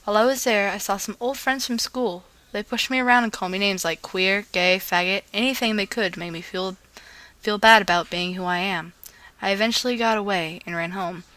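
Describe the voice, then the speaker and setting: A woman reading romantic fan fiction aloud in a casual, expressive amateur style, stumbling over words and restarting as she goes. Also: medium pitch, brisk, no room sound, poor audio. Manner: gushing asides.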